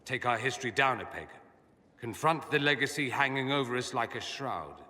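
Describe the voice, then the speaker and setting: A man's voice: mid-range voice, lively intonation, moderate pace, pushed and bright, long reverb tail. An actor playing a witty, earnest nobleman mage in a video game, speaking with wry, theatrical eloquence. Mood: earnest resolve. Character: witty, earnest, self-questioning mage nobleman, adult man. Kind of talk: video game cutscene acting.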